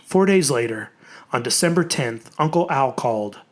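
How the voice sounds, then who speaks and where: A narrator reading a horror story in a theatrical, dramatic way, with emphatic, drawn-out words. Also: medium pitch, animated.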